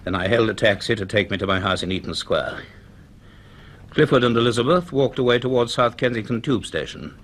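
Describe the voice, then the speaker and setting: A man playing a grave, curious middle-aged university professor in a radio drama, speaking in a measured, formal, authoritative way. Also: lowish voice, fairly bright tone. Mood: measured narration. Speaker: actor and narrator in a radio drama. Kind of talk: radio drama acting and first-person narration.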